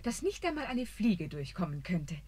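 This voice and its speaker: An actress playing a proud but kind young queen in a radio play, speaking in a stately, measured way. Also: on the low side, very animated, a little mellow.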